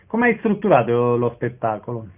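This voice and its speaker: A man's voice: medium pitch, big pitch swings, normal speed. A man hosting a radio interview, putting short conversational questions to a guest. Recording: noisy, thin, phone-like radio line.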